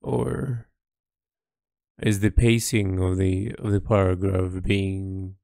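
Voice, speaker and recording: A man recording a reflective, unscripted audio diary as an artist, speaking in a halting, thoughtful way with pauses mid-phrase. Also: deep voice, level tone, vocal fry, darkish tone, no echo, studio quality. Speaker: artist keeping an audio log.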